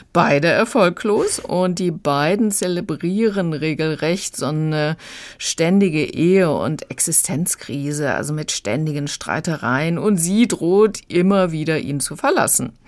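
A woman with a low voice presents audio dramas as a radio critic. She speaks conversationally, and the studio sound is dry with no room echo.

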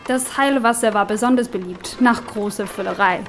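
A woman's voice: a little high, normal speed, soft background music. Woman giving dryly witty scripted commentary. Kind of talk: scripted explanatory commentary with dry wit.

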